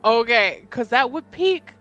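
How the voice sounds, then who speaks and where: A woman's voice: fairly high pitch, lively intonation, moderate pace, fairly bright tone, lo-fi sound, faint music behind. A woman playing a tabletop roleplaying game, casually describing what her character does. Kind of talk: casual improvised chat about her character.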